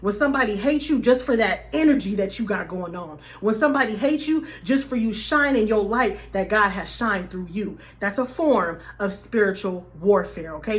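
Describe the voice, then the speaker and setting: A woman life coach, slightly hoarse, talking animatedly to her viewers in a casual faith-based vlog. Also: mid-range voice. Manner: animated, addresses viewers as y'all.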